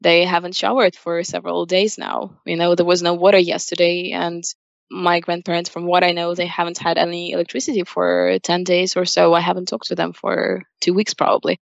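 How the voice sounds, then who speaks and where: A woman's voice: lowish voice, level tone, brisk, creaky, no echo, muffled recording. A young woman from Ukraine, a native Russian speaker with a Ukrainian accent, giving earnest, emphatic answers as an interview guest. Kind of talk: spoken interview answers, personal opinion.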